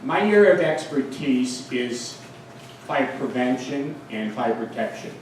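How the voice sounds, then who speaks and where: A man's voice: mid-range voice, animated, measured pace, darkish tone. Echoey, distant Boston man giving a speech. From United States. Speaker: guest speaker, fire protection engineer and town mayor. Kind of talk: informal public speech at a ceremony. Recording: very echoey, distant microphone, faint noise.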